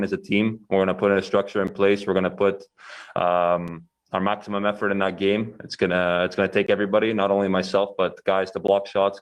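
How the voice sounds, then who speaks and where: A young man, a goalkeeper answering press questions with many fillers and restarts. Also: low-pitched.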